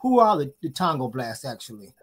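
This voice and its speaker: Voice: mid-range voice, sing-song, fairly quick, slightly rough. An interviewer asking casual questions and repeating words while forming them.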